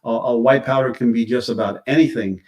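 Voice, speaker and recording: A man's voice: mid-range voice, fairly quick, darkish tone. Guy a bit far from the mic, casually explaining stuff point by point. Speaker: live stream panelist.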